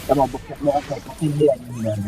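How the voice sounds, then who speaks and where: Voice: mid-range voice, fairly even intonation, very fast, very noisy. A Filipino player chatting casually during a game, muttering complaints with bits of English mixed in. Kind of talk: casual gaming chat.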